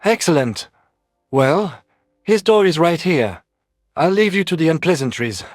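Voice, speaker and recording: A man voicing a calm, candid, friendly male alien doctor in a science fiction audio story. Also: fairly high pitch, unhurried, no room sound, studio quality, soft background music. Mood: brisk, friendly.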